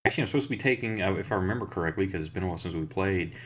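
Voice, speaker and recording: A man's voice: sits low, racing. A man playing a tabletop game, chatting casually with the group as one of the players. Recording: clear background noise on mic.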